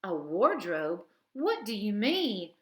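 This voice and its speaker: A woman's voice: mid-range voice, sing-song, slow. A woman plays a stern, menacing queen who speaks in a haughty, commanding tone, her voice lifted above the narration. Her lines ring in a roomy space with a noticeable echo. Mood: imperious.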